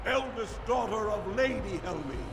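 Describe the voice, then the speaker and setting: A ceremonial dwarf master of the arena in a fantasy game. The voice is raised high with a gravelly edge. It declaims formally in long reverb over ambient noise. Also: male voice, animated, normal speed. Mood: ceremonial, proud. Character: formal male dwarf arena master announcing honour combats. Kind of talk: video game voice acting.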